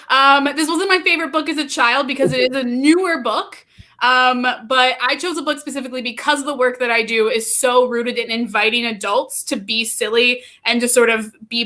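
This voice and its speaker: A woman's voice: high voice, bright, forceful tone. An upbeat, enthusiastic American woman in her thirties explaining her work as an interview guest. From Pennsylvania, United States. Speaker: guest, youth play nonprofit training manager.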